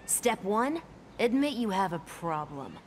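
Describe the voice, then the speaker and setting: A sarcastic young soldier in a fighting game. Her snarky, flippant lines are very lively in pitch, with loud noise behind them. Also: a little slow. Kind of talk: video game character dialogue.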